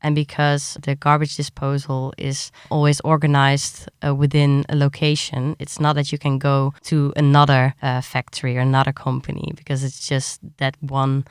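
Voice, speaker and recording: Clear-voiced woman giving interview answers. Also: a bass voice, monotone, moderate pace, hardly any pauses, no echo, studio quality. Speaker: science journalist, interviewee.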